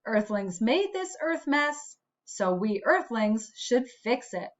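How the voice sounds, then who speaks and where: A woman reads aloud to young children like a school principal at story time. Her voice sits fairly high and swings expressively through each sentence. She takes it slowly, in clear teacherly phrases, on a slightly dull recording. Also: big pitch swings. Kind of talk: slow, expressive children's read-aloud with asides.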